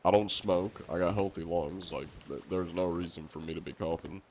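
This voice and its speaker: A man chatting casually to viewers on a live game stream, congested and coughing now and then, chatty and quick to laugh. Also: low voice, fairly even intonation, darkish tone, a little hiss or hum.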